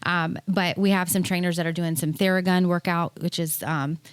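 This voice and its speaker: A woman's voice: on the low side, steady tone, moderate pace, clean tone, no room sound. A woman chatting informally as an interview guest, explaining things as a gym owner in a casual way.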